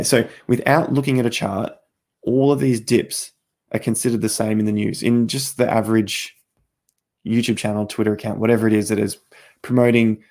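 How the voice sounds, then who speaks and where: A man's voice: fairly low pitch, a little mellow. A middle-aged man hosting a crypto livestream, walking through charts in a repetitive, emphatic way while he is losing his voice and coughing. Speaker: crypto trading livestream host. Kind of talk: live-stream chart analysis.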